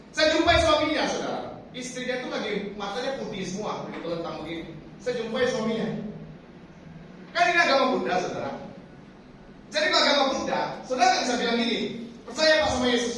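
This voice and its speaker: A man preaching a sermon, animated and constantly addressing the congregation directly. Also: high voice. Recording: echoey hall, speaker far from the mic, faint noise.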